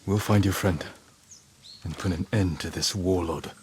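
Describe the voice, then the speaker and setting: An actor playing a stoic, resolute noble samurai lord in a dramatic video game. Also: male voice, deep voice, normal speed, a little hiss or hum, lightly acted.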